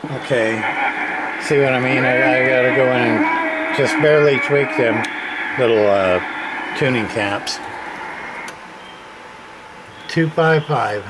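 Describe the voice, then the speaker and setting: A man's voice: medium pitch, slow pace, pauses often. A man casually narrating a hands-on radio repair demonstration in terse, offhand, folksy fragments. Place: indoor home workshop bench. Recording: noisy room with radio static. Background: CB radio noise.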